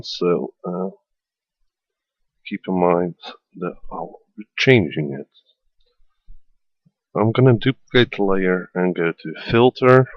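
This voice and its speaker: Dutch man, tutorial voiceover, halting with fillers, unhurried, dead dry sound. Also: deep voice, no echo, highs cut off. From Netherlands. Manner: hesitant, many fillers. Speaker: tutorial maker. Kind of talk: screen-recorded software tutorial voiceover. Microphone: voiceover at a computer.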